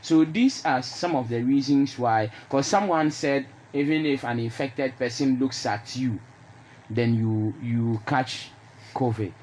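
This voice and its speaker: Male radio panelist, Ghanaian accent, medium pitch, explains points with emphasis; slightly noisy recording. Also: unhurried, a little mellow. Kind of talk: conversational panel discussion. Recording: noticeable background noise.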